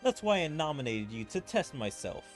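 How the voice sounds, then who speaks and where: A man's voice: fairly high pitch, big pitch swings, moderate pace, soft background music. A man voicing a composed, calculating fighter from an anime game, reading in a formal, theatrical way.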